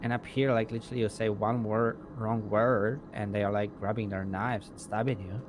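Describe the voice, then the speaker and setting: Guy w/ lowish voice rambling in a roleplay game, stop-start, loud vehicle noise behind him. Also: fairly even intonation. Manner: rambling, halting, swears casually. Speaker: online roleplay gamer. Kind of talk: casual in-character roleplay chat.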